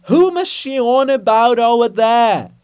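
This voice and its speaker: A man voicing the monument of a nosy, oblivious prince, calling out loudly. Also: lively intonation, measured pace, smooth. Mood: nosy shouting. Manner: high-pitched calling out.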